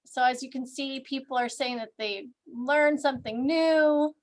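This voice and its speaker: A woman's voice: high-pitched, steady tone, a little slow. A tired woman co-hosting an online event, making informal announcements to the participants. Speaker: event organizer, co-host. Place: online video call.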